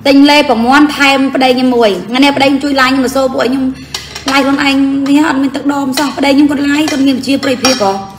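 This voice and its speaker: A woman's voice: high voice, steady tone, fairly quick. A woman chatting casually and teasingly during a home lunch. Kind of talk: casual chat while eating. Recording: echoey room with clinking cutlery. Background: cutlery and dishes clinking.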